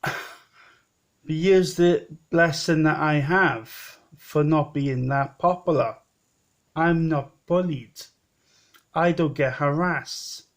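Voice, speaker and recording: A man's voice: on the high side, slow, long pauses. A man in his late thirties talking in a rambling personal vlog, often repeating himself and restarting. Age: 30s. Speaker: vlogger.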